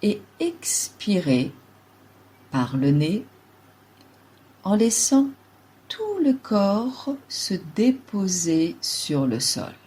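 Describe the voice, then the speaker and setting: Woman doing guided relaxation, dark mellow voice, a bit husky, calm short phrases. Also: medium pitch, very slow, pauses often, poor audio. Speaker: yoga nidra guide. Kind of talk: slow guided relaxation meditation.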